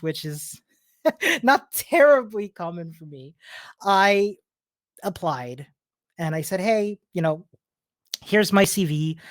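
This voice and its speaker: A man's voice: high voice, dry recording. Man giving a talk, dry close sound. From Chile. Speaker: conference speaker, software developer. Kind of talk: conference talk with personal storytelling. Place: remote virtual meetup presentation.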